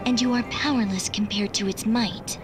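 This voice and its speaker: A woman's voice: a little high, steady tone, moderate pace, music in the background, close to an everyday voice. A woman playing a cold young digital being in a video game, formal, clinical and dismissive. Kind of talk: video game cutscene acting.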